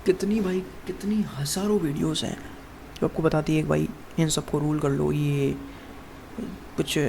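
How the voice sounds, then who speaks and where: Gravelly young man rambling to camera. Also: a little high, a little mellow, slight background noise. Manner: rambling, addresses viewer as "bhai" constantly, many restarts. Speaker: fitness vlogger. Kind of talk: casual to-camera monologue.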